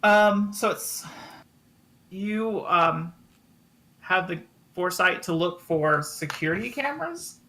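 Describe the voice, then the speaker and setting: A man narrating a tabletop game as game master, improvising with frequent ums and pauses. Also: sits high, expressive, sharp tone.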